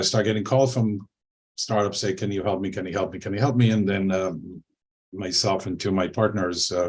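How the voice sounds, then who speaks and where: A man from Israel speaking as a panel guest over a video call, relaxed and conversational with many fillers. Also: low voice, husky, low-quality recording. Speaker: panel guest, angel investor.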